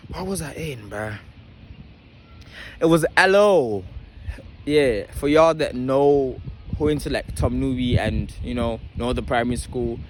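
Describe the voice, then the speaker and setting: A young man with a South African accent tells a personal story to viewers in casual slang, halting and repeating himself as he thinks. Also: medium pitch, a little hiss or hum. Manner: halting and repetitive while searching memory. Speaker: vlogger.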